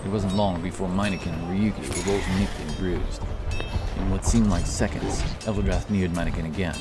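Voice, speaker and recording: A man narrating a fantasy audiobook in a steady, dramatic storytelling manner. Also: deep voice, normal speed. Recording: with loud music behind.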